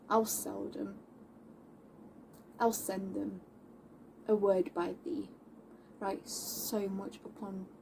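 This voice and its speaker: A young woman playing a defiant, scornful tomboy of seventeenth-century London in a classical stage monologue, declaiming indignantly with dramatic pauses. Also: mid-range voice, warm tone. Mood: proud, defiant. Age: young adult. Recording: distant mic with faint background noise.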